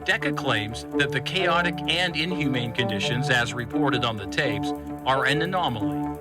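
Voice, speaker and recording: A man playing a composed radio news journalist, reading a scripted report in a measured, formal manner. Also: mid-range voice, lively intonation, close to an everyday voice. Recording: music bed under the voice.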